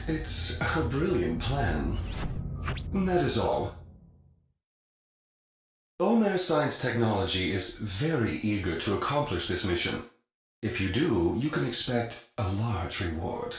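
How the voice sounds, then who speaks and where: An actor playing a smooth-talking corporate agent who pitches missions formally in a video game briefing. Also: male voice, very animated, takes it slowly, long pauses, background noise. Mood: self-assured. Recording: heavy echo, music underneath.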